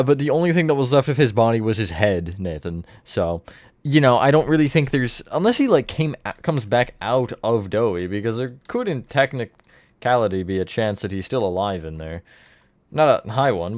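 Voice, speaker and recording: A man's voice: mid-range voice, dry recording. Laid-back man streaming while building. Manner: relaxed, chatty, answers chat, counts blocks aloud. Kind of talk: casual live-stream chat while building.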